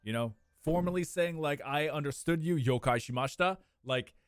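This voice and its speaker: A young man, chatting casually with his live-stream viewers, animated and prone to squeaky bursts of laughter. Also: moderate pace, clean tone, dry recording, studio quality, faint music behind.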